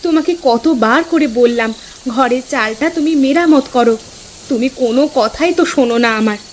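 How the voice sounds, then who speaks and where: A woman playing a practical, gently nagging farmer's wife in a village folk-tale cartoon dub. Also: sits high, moderate pace, slight background noise, lightly acted. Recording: music behind the voice.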